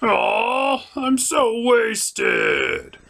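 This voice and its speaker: A man's voice: very high voice, extremely slow, gravelly, bright, forceful tone, exaggerated voice. A man voicing a whiny, blustering goofball tyrant in a comic puppet show, sulky about being taken lightly. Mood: deflated.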